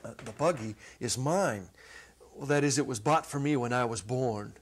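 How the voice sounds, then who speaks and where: A middle-aged man narrating a literary short story as a dramatic reading, with expressive, measured storytelling. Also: medium pitch, breathy edge. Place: indoor studio set. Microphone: lapel.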